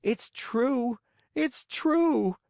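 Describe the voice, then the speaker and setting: A man's voice: high voice, moderate pace, a little mellow, dry recording, studio quality, pitched above the performer's own voice, natural voice. A man voicing a naughty wooden marionette boy in a storybook, thin and childlike, whining and pleading. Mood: tearful pleading.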